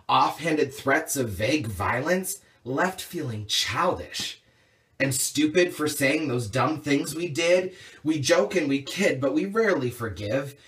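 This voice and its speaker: Man, somewhat high pitch, a bit far from the mic, slam poet, rhythmic rhyming delivery, earnest emphasis. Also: fairly bright tone. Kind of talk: rhyming slam poetry recitation.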